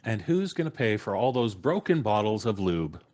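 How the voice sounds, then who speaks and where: A man's voice: fairly low pitch, sing-song, moderate pace, a little mellow. A middle-aged man hosting a live podcast in a jovial, showman-like, chatty manner from a home setup. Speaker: podcast host and announcer. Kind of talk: live show hosting, casual chat. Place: home room on a video call.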